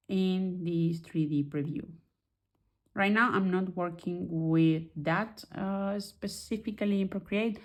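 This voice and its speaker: A woman who is not a native English speaker, chatting casually through a digital art software tutorial and often restarting as she searches for words. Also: on the low side. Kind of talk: casual screen-recorded tutorial.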